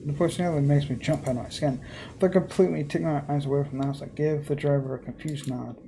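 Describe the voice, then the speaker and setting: A man reading a first-person horror story aloud as a let's player, in a measured, theatrical way. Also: a little high, steady tone, noisy background.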